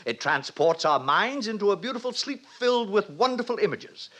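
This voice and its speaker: A self-satisfied male director instructing his students in old-time radio drama. His voice sits high and rings out brightly, swinging widely as he declaims each lesson with pompous relish. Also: very animated, moderate pace, heavily acted. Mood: approving, didactic. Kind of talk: 1950s radio drama acting. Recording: vintage 1950s radio recording.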